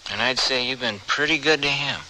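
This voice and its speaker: A man's voice: medium pitch, fairly quick, pushed and bright. A man playing a regretful, folksy young ex-convict cowboy in an old-time radio western. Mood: gentle curiosity. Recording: slightly noisy radio drama recording.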